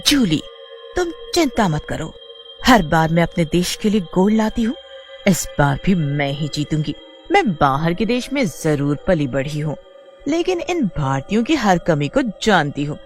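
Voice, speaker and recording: A woman voicing an arrogant, scheming young female wrestler, the villain of an animated story. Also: fairly low pitch, unhurried, faint music behind. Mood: smug, menacing. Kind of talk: Hindi animated story acting.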